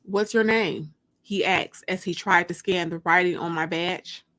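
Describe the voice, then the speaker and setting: A woman giving an expressive, dramatic first-person reading of fiction, like an audiobook. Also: lowish voice, normal speed. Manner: expressive, acts out dialogue. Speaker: narrator reading fiction aloud.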